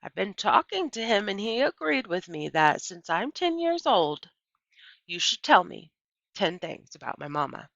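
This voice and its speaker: A woman's voice: mid-range voice, very animated, measured pace, gravelly, a bit bright, no room sound, muffled recording, voice pushed up, exaggerated voice. A woman voicing an earnest, pleading ten-year-old girl in a children's story reading. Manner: earnest, insistent. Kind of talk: children's audiobook character voice.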